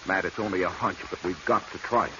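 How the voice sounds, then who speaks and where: A man's voice: sits low, fairly even intonation, quick pace, close to an everyday voice. A male actor playing an earnest, eager salvage partner with a folksy American manner and accent, in 1940s radio drama style. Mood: excited, persuasive. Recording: muffled, noisy vintage radio recording.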